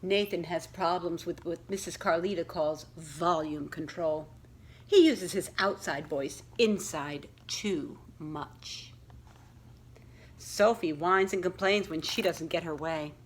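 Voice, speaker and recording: A woman reading a children's story aloud like a school librarian, with deliberate, expressive storytelling phrasing aimed at young listeners. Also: lowish voice, a little hiss or hum. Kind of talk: expressive picture-book read-aloud with questions to young listeners.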